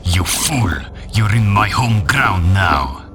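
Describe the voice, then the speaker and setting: A man's voice: on the low side, animated, takes it slowly, music in the background. A man playing a defiant, vengeful dictator, delivering grandiose threats as a video game villain. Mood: menacing fury. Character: defiant, menacing male Libyan dictator, proud and vengeful.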